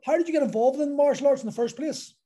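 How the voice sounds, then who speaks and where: Mellow-voiced man hosting. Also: very high-pitched, fast. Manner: rambling, restarts thoughts and piles up clauses. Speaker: podcast host. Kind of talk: casual conversational interview.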